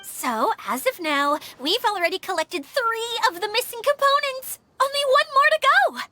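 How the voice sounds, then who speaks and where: A woman's voice: very high voice, expressive, moderate pace, bright, forceful tone, full character voice. An actress playing a cheerful, responsible young woman in a game cutscene, upbeat and apologetic.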